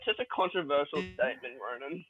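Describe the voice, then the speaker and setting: Young male podcast co-host, higher-pitched, jokey and sweary, dry phone-like audio. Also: expressive, fast talker, music in the background. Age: young adult. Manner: joking, sweary. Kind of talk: casual banter. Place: remote group online call. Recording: thin phone-like call audio.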